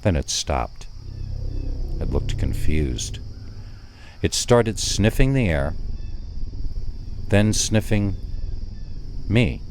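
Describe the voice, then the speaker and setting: A man narrating a first-person horror story in a deliberate, suspenseful way with dramatic pauses. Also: deep voice, very animated, a little mellow, a little hiss or hum. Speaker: narrator of scary stories.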